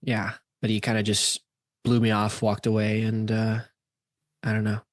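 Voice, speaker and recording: A man playing a defensive, nervous young stoner with halting dude-speak in an amateur radio play. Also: on the low side, completely flat delivery, pitched below the performer's own voice, close to an everyday voice.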